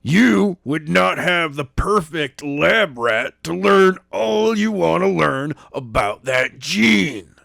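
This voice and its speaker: A harsh, bitter man, as a male reader plays him, speaking in clipped, slow lines. The sound is dry and up close. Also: fairly high pitch, lively intonation, creaky, a bit bright, dry recording, voice pushed up, full character voice.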